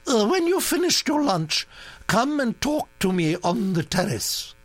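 A man reading an audiobook, voicing an imperious elderly aristocratic duchess who is brisk, bossy and disapproving. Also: very high voice, sing-song, voice pushed up, exaggerated voice. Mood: commanding.

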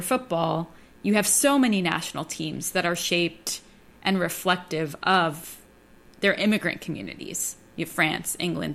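A woman's voice: on the low side, vocal fry, a bit bright. A woman hosting a radio show, speaking in a conversational interview style. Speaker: host.

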